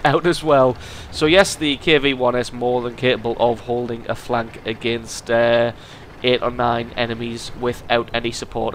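A man with a cold hosting a gaming channel, commenting on a tank game replay in an amused, lively way. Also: medium pitch, slight background noise. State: has a cold.

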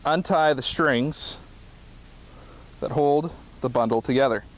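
A man's voice: medium pitch, slow, a little hiss or hum. Man giving outdoor step-by-step instructions. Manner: plain instructional, paced in short phrases while working. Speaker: product demonstrator. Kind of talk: step-by-step how-to demonstration. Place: grassy field outdoors by a trailer.

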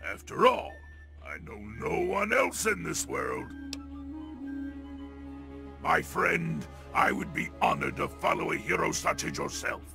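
Gravelly, earnest hulking mutant. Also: male voice, huge pitch swings, slow, long pauses, over music, full character voice. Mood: earnest, honored. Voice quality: gravelly, booming. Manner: formal, eloquent, emphatic. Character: loyal hulking super mutant, grateful and earnest. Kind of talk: video game character acting.

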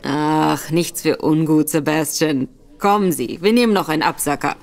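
A woman voicing a sly, affable gentleman in disguise who probes with feigned forgetfulness, in a radio play. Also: sits low, normal speed, slight background noise, pitched below the performer's own voice. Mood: probing, sly. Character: smooth gentleman Virgil Barker, a man, sly and probing.

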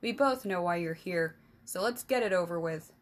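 A woman's voice: a little high, animated, normal speed. A woman voicing a short-tempered, embattled male king who snaps commands through gritted teeth. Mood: irritated.

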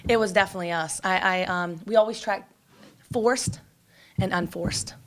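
A woman in her forties, a basketball coach, talking quickly and energetically at a postgame press conference, with many fillers and restarts, into a table microphone. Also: medium pitch. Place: press room before a sponsor backdrop. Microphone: table microphone in front.

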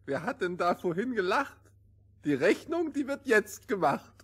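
Hoher, frecher Reimeschmied. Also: male voice, sits high, sing-song, a little slow, a bit bright, breathy edge, full character voice. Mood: gleeful, teasing. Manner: sing-song rhyming couplets, teasing. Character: cheeky rhyming companion in a fairy tale. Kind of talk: radio play fairy tale acting.

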